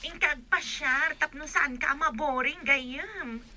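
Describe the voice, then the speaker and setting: A woman's voice: high-pitched, takes it slowly, bright, forceful tone, full character voice. A woman playing a blunt, mocking young friend in a radio drama, with a Filipino accent. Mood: blunt, scoffing.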